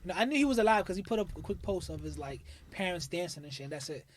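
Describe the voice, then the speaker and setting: Fast-talking high-voiced man teasing his friends. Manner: teasing, loud, animated storytelling. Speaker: podcast co-host. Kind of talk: casual banter.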